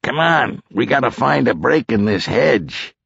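Male narrator as brash cop boss, gravelly, husky, commanding, sardonic, dull audiobook recording. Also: medium pitch, expressive, measured pace, fairly bright tone, dry recording, voice pushed up, heavily acted. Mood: gruff, commanding. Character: brash, gruff male police boss, wry and bold.

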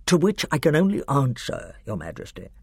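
A cautious, sulky lord who advises his king in a lowered, deferential voice, as a man reading the story puts him on. His tone stays respectful even as he pushes his own view. The recording is dry and close. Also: fairly quick, vocal fry, slightly rough, no room sound, heavily acted. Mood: sly, deferential.

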